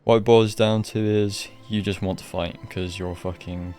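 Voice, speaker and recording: A man's voice: on the low side, steady tone, moderate pace, a little mellow. A man giving casual livestream game commentary, blunt and exasperated, with a sweary, ranting manner. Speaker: game streamer. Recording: game music behind voice.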